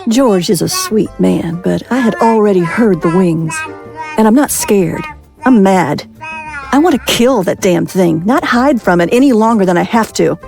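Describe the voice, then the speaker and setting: Mad, fearless mom, gravelly creaky voice, mid pitch, music under it. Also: female voice, animated, a little slow, a little mellow. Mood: angry, defiant. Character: angry, defiant young mother, unafraid. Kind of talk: audio drama acting.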